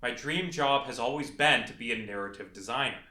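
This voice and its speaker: A man's voice: mid-range voice, fairly quick, sharp tone. A young adult man narrating a scripted video essay as a game developer. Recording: echoey, reverberant room.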